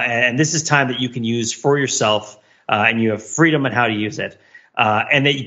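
A man's voice: medium pitch, bright, forceful tone, dull audio. A middle-aged man co-hosting a casual podcast, explaining things at length in an analytical, rambling, mock-academic way. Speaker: podcast co-host.

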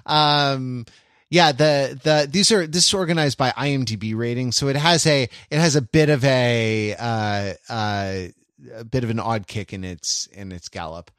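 A man in his forties chatting casually as a podcast co-host, with a halting, rambling delivery. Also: medium pitch, fairly bright tone, clean tone, no echo, clean studio sound. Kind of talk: casual chat.

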